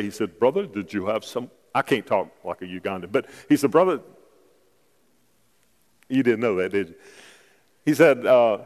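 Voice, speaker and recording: A man pastor preaches to his congregation at a middle pitch. He talks through his points casually, slips into personal stories, then lifts into emphatic calls to the church. The sound carries a long reverb from the sanctuary. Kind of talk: sermon.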